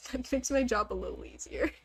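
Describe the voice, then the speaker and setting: Casual, high-voiced woman livestreamer. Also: normal speed, slightly rough. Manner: joking, self-deprecating. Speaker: game livestreamer. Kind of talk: casual rambling chat with viewers.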